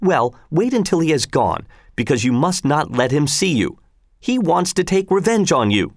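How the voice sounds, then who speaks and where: Fretful scolding wife, a man putting her on, high and nagging, dry sound. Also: very animated, normal speed, no echo. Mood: anxious. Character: scolding worried wife and mother. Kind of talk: audiobook character reading.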